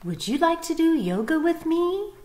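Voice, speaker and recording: A cheerful, gentle boy bunny from a storybook, voiced by a woman. His voice sits high and bright, kind and encouraging. It rings a little in a roomy space. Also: sing-song, normal speed.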